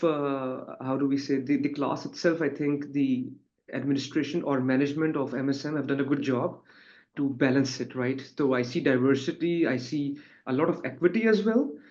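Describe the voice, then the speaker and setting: A man's voice: on the high side, a little mellow. A man speaking informally as a student on a panel, rambling a little and adding tag questions. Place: online webinar call. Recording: dull, muffled online call audio.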